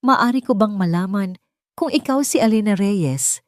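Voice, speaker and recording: A woman voicing a tall, formal, wealthy man in his late thirties in an audio drama, speaking deeply and formally with a gentler edge. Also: animated, moderate pace, dry recording, studio quality, lightly acted. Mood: formal, measured. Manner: deep, formal, then gentler. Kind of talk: audiobook character voice.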